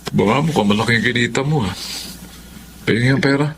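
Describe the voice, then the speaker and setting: A man playing a selfish, short-tempered gambler husband in a Filipino radio drama, gruff and dismissive in manner. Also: medium pitch, fast, a bit bright, slight background noise, natural voice. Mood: demanding.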